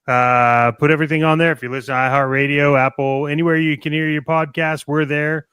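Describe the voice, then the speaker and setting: A man's voice: on the high side, little pitch movement, fairly quick, a bit bright, clean tone, no room sound, clean studio sound. A man co-hosting a podcast, chatting and promoting it in an informal, easygoing way. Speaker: podcast co-host. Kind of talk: casual promotional podcast chat.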